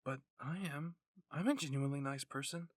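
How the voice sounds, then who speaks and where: A man voicing a sad, quietly bitter young woman soldier in an anime fan fiction roleplay, subdued and downcast. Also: a little high, normal speed, no echo, lightly acted.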